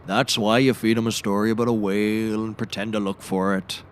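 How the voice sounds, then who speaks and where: Man playing a low-voiced deadpan seafaring sidekick. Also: a little fast, clear, resonant tone, close to an everyday voice. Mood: dry, expansive. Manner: dry, deadpan, storytelling. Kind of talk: scripted comedy audio drama.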